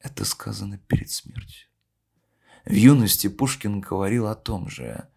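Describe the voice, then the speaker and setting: A man playing a solemn poet who delivers a memorial speech in a measured, declamatory way with deliberate pauses. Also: fairly low pitch, vocal fry, a little mellow, breathy edge. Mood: solemn, argumentative. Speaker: reader of a poet's speech in an audio play. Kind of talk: literary oration, declaimed reading.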